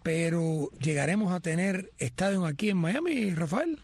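A man with a notably high, raspy voice talks as a sports co-host. The sound is dry and close, suited to panel chat and recap. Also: expressive, moderate pace, a little mellow, no echo. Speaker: sports show co-host covering boxing.

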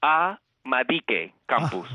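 A man's voice: medium pitch, sing-song, slow pace, pushed and bright, dry recording, clean studio sound. A man whose first language is English, speaking Spanish with an American accent with traces of Quito, answers hesitantly and repeats words as a professor interviewed by phone. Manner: hesitant, repeats words while searching for them. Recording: tinny phone line.

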